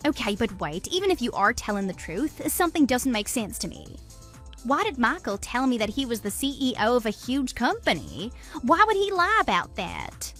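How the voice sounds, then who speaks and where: A woman's voice: a little high, sing-song, normal speed, a bit bright, faint music behind. A woman playing a greedy, haughty, easily shocked mistress in a scripted audio drama. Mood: shocked, incredulous. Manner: haughty, gloating, incredulous. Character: smug, greedy, gold-digging young woman, easily shocked.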